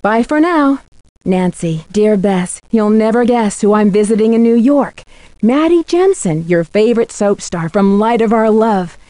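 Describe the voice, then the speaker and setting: A woman's voice: mid-range voice, animated, a little slow, dark tone, no room sound, natural voice. A young American woman voicing an inquisitive, teasing amateur detective in a video game, in scripted lines. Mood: chatty, intrigued. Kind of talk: scripted game dialogue and letter narration.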